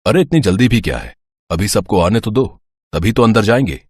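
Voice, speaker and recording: A man playing a gentle, sweet-talking young suitor in a dramatised story, soothing and coaxing. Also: on the low side, big pitch swings, fairly quick, slightly rough, dry recording, clean studio sound. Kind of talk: dramatised Hindi moral story.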